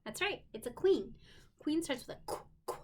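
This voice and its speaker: A woman's voice: high voice, expressive, moderate pace. A woman teacher talking to young children in a sing-song, exclamatory way as she leads a lesson and read-aloud. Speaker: special education teacher.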